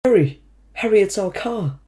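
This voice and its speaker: A woman's voice: mid-range voice, animated, normal speed, dark tone, lightly acted. A woman playing a nervous, grumbling schoolboy sidekick in a fan audio drama, with an anxious, complaining delivery.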